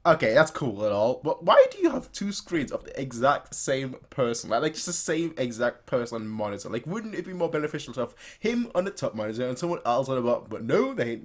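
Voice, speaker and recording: A man's voice: medium pitch, sing-song, fairly quick, few pauses. A man casually commenting as a reaction video host, repeating himself as he works out his thoughts.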